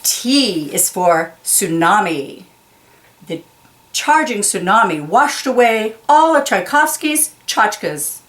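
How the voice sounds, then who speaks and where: A woman's voice: mid-range voice, big pitch swings, a bit bright, a little hiss or hum. A woman reading a children's picture book aloud, carefully stressing key words and explaining them simply. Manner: slow, deliberate, enunciates key words for young listeners.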